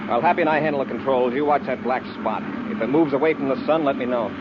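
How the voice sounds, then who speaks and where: A man playing a brisk, commanding space patrol commander in an old radio adventure serial, giving clipped, authoritative orders. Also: medium pitch, close to an everyday voice. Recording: dull, noisy old radio recording.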